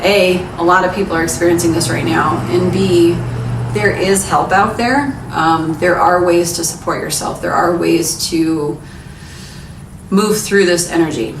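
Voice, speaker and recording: A woman's voice: on the low side, fairly even intonation, a little slow, gravelly. A young adult woman giving a casual pick-a-card tarot reading in a frank, encouraging, chatty way. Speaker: tarot reader. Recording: echoey room, mic some distance away, background noise.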